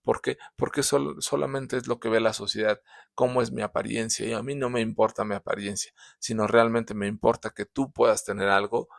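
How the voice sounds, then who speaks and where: A man's voice: mid-range voice, steady tone, fairly quick, vocal fry, no echo, clean studio sound. A man with a sore throat, hosting a casual book review, talking with many fillers and restarts. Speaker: book reviewer, show host.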